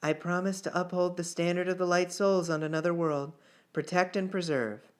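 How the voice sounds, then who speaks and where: A man's voice: sits high, steady tone, normal speed, clear, resonant tone, lightly acted. A man reading the part of a wry, dutiful young woman soldier in a fantasy story. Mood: solemn.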